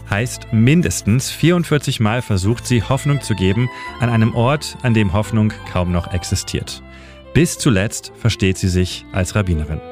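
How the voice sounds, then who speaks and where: Male radio narrator, lowish pitch, scripted storytelling in pointed phrases, music bed. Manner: short, pointed sentences. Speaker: radio editor and city guide.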